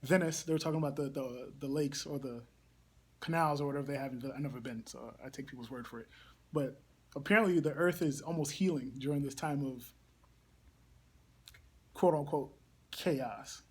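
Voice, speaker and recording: A young man from Barbados, a campus ministry coordinator, speaking thoughtfully in a casual interview with many pauses and ums. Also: mid-range voice, a little mellow, breathy edge.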